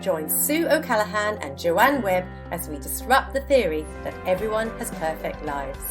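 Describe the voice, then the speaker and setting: Announcer: woman, mid-pitched, upbeat scripted intro, loud instrumental music underneath. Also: very animated, normal speed. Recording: voice over loud music bed.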